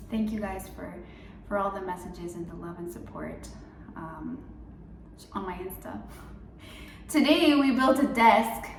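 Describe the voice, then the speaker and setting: A woman's voice: mid-range voice, a little hiss or hum. A young woman from Mexico chatting in a casual, upbeat devotional vlog, full of fillers like "um" and "like". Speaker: faith vlogger. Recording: roomy, a bit far from mic.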